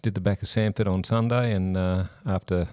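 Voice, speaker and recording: A middle-aged man, a cycling club president, speaking in a halting way with many restarts in a casual chat. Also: sits low, fairly even intonation, a little mellow, clear, resonant tone, no room sound, clean studio sound.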